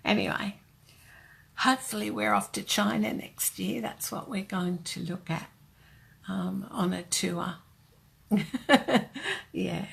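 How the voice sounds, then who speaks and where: An older Australian woman talks slowly and chattily through a craft live stream, greeting viewers by name as she goes. Her voice is heavily gravelly and a little husky. She sounds like she is speaking into a camera set up on her desk. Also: mid-range voice, steady tone, fairly bright tone.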